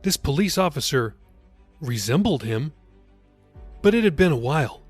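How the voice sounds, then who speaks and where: A man's voice: fairly high pitch, very animated, normal speed, breathy edge, no echo, faint music behind. A man reading his own novel as scripted audiobook narration.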